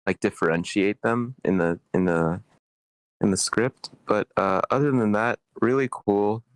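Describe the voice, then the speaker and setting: Man, fairly low pitch, rambling, stammering, casual slangy feedback, dry sound. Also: unhurried, clear, resonant tone, no echo. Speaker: participant giving script feedback. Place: online meeting.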